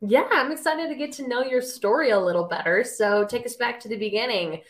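Higher-pitched woman hosting a podcast. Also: brisk. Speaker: podcast host. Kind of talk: casual conversational interviewing.

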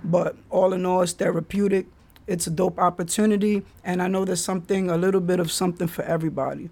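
A man's voice: high voice, steady tone, fast, darkish tone. A man hosting a podcast, telling stories in a laid-back way with street slang. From the Bronx, New York. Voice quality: raspy. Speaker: podcast host. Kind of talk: casual solo podcast storytelling.

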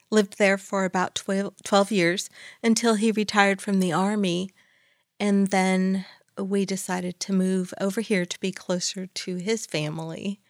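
Slow-spoken woman introducing herself. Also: medium pitch, dry recording, clean studio sound. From Texas-born, raised in Northern California. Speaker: guest. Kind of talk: casual self-introduction.

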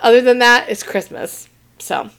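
A woman's voice: on the high side, lively intonation, normal speed, gravelly, a bit bright, clear, resonant tone. A woman with a Southern American accent, co-hosting a podcast in giggly, teasing casual chat. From Southern United States. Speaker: podcast co-host.

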